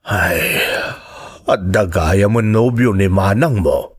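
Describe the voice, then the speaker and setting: A man playing a grieving, gentle middle-aged father in a radio drama, with a halting, earnest way of speaking. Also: deep voice, husky, full character voice. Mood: troubled, concerned.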